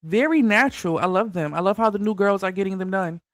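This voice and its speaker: High-voiced chatty man with a lisp. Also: expressive, fast, fairly bright tone, no room sound, clean studio sound. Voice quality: slight lisp. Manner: stutters a little, loose and chatty. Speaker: commentary channel host. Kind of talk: casual reality TV commentary.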